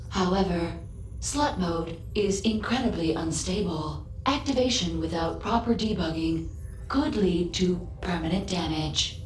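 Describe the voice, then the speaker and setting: A woman playing a polite, literal-minded female android in a video game, speaking formally and precisely with a deadpan manner. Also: fairly low pitch. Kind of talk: scripted video game dialogue. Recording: roomy echo, music behind.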